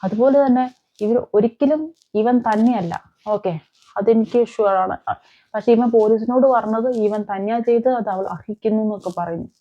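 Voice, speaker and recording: Female crime vlogger, soft dark timbre, insistent rhetorical delivery, slightly muffled lo-fi audio. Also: fairly high pitch, brisk. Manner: emphatic, repeats phrases and asks rhetorical questions. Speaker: vlogger, host. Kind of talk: opinionated crime commentary to viewers.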